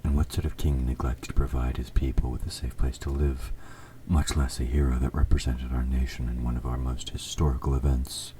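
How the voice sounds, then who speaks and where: Evil fantasy king for audio drama, played by a man: extremely deep, dark tone, measured courtly diction, smooth-talking with quiet menace. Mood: courteous, controlling. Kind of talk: scripted audio roleplay addressed to the listener. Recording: faint background noise.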